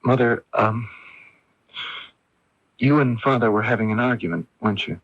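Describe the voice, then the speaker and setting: Husky, hesitant, troubled young son. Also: male voice, mid-range voice, moderate pace, a bit bright, dry recording, dull audio. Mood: cautious probing. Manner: hesitant, stammering repeats of words. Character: troubled, gentle young son, haunted and unsure of himself. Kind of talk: radio drama acting. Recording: band-limited 1980s AM radio broadcast.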